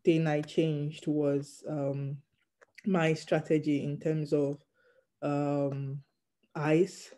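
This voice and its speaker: A non-native English speaker, a doctor in training, talks through interview answers slowly, pausing often with ums. The voice is very dark and mellow, with a notably flat melody. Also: medium pitch. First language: not English. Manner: hesitant, frequent ums, measured. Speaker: GP trainee interviewed about passing an exam.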